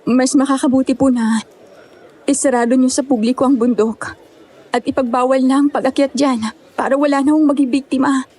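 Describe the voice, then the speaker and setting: A woman's voice: sits high, steady tone, a little fast, mellow. A woman playing an earnest, polite young survivor in an audio horror drama.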